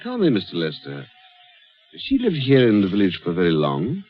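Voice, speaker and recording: Husky old-radio police inspector, really dramatic pitch swings, shrewd and pushy, pauses for effect. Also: male voice, huge pitch swings, soft background music, full character voice. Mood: startled, probing. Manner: theatrical, interrogating, with pointed pauses. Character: shrewd, insistent male police inspector, gravely suspicious. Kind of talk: radio mystery drama acting. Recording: dull lo-fi radio drama recording.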